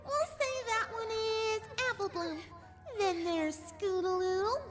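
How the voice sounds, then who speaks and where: A woman's voice: very high voice, slow. A woman playing a cheerful, sadistic pink cartoon pony with sing-song, sugary menace in a fan audio drama. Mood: gleefully sadistic. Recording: long reverb, music behind.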